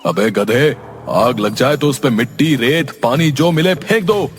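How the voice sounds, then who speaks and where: A man's voice: medium pitch, lively intonation, unhurried, natural voice. A man voicing a stingy, exasperated village merchant in a cartoon story, scolding and blustering. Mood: angry. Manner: scolding, blustering, questioning grunts. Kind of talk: Hindi cartoon story dialogue.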